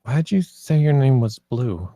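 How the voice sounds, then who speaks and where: A man's voice: mid-range voice, lively intonation, a little slow, warm tone, no echo, studio quality. A man reading the part of a wary, protective young leader at a screenplay table read, in a questioning, conversational way. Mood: curious, hesitant.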